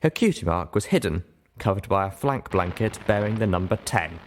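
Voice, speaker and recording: Low-voiced man narrating a story. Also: animated, moderate pace, a little hiss or hum. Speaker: audiobook narrator.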